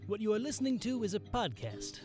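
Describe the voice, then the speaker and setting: A man's voice: high-pitched, huge pitch swings, a little fast, loud music behind the voice. A man acting as an eerie, theatrical narrator of an anthology mystery show, in a portentous, dramatic style. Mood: ominous, grand.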